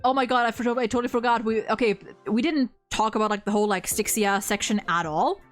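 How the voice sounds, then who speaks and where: A woman host in a casual livestream chat, talking in an animated, blunt way and swearing freely. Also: a little high, quick pace, soft background music. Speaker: host ranking game story quests with a guest.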